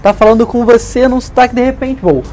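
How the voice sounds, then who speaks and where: A man in his twenties with a softened Rio de Janeiro accent and hushing s sounds, explaining things in a casual interview. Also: very high voice, fast talker, soft background music. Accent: softened Rio de Janeiro Brazilian. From Brazil, Rio de Janeiro. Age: 20s. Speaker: interview guest, leader of a community media project.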